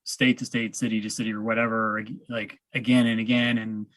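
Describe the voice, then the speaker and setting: A man's voice: lowish voice, flat delivery, fairly quick, a bit bright, no echo. An American man hosting a casual interview, musing in an informal, loosely phrased way. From Massachusetts, United States. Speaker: podcast host, interviewer.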